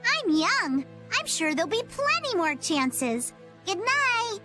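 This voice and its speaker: A woman's voice: very high-pitched, very animated, takes it slowly, full character voice. A woman voicing a chipper, quirky young pirate girl in an anime game dub, self-assured and speaking in old-fashioned slang. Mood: breezy. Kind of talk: English-dubbed anime game voice acting. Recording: music under the voice. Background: music.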